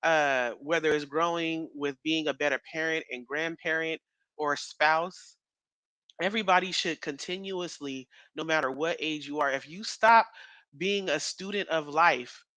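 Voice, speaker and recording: A man in his thirties chatting casually as a podcast co-host, rambling with fillers and quick laughs. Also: a little high, bright, forceful tone, dry recording. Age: 30s.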